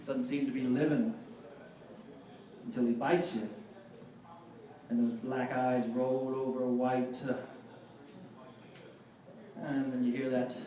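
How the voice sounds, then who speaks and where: A man's voice: mid-range voice, a little mellow, lightly acted. Guy doing a grim haunted sailor monologue on stage, clipped folksy phrasing, super echoey, mic is far away. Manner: measured storytelling with clipped, folksy grammar. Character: grim, haunted sailor, survivor of a wartime shipwreck. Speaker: live monologue performer. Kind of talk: dramatic film monologue performed live from memory. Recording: echoey room, picked up from far off.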